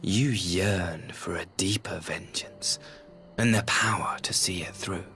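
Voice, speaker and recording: A man's voice: sits low, pushed and bright, exaggerated voice. A man acting a smug, cruel brother in a video game cutscene, theatrical and taunting, drawing out his words. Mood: sinister, tempting. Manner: theatrical, taunting, drawn-out delivery. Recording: music behind the voice.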